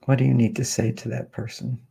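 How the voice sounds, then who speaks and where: A man leading a guided healing meditation, speaking slowly and softly with long pauses. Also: mid-range voice, flat delivery, creaky, slightly rough. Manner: slow, soft, soothing delivery with long pauses.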